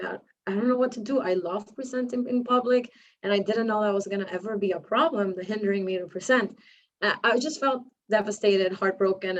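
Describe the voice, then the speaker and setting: A woman's voice: medium pitch, a little hiss or hum, poor audio. A woman whose first language is Spanish, speaking English with a slight accent as she tells a story on a panel and acts out the quotes. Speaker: panelist, architect.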